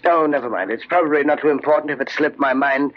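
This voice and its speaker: An actor playing a suspicious older uncle in a 1940s style radio drama, halting and musing with feigned casualness. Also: male voice, a little high, a little hiss or hum, natural voice. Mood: coy, casual. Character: suspicious older uncle, coyly genial, hinting. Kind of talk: 1940s radio horror acting. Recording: dull, lo-fi old radio recording.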